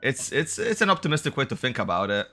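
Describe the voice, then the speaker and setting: Bright-voiced man streaming casually. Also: mid-range voice, very animated, fast, soft background music. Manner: slangy, chatty, informal. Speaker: game streamer. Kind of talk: casual livestream commentary, reading game dialogue aloud.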